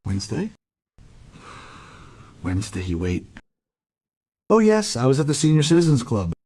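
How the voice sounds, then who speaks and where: An elderly immigrant man in a crime audio drama. He speaks at a middling pitch, politely and hesitantly, wandering off as he evades questions. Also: huge pitch swings, a little slow, darkish tone, breathy edge, full character voice. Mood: evasive. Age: 70s. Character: lonely, evasive elderly Polish immigrant man under questioning.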